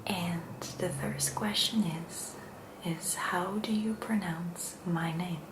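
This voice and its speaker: A Dutch woman with a Dutch accent, speaking English as a second language in a gentle, hushed, soft-spoken ASMR style as she hosts. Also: lowish voice, fairly even intonation, slow pace, reverberant, noisy background. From Netherlands. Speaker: ASMR video host.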